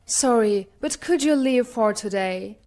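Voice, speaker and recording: A woman's voice: on the high side, a little slow, darkish tone. A woman voicing a shy, uneasy man in his late twenties, hesitant and apologetic, in a narrated story. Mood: uneasy, evasive.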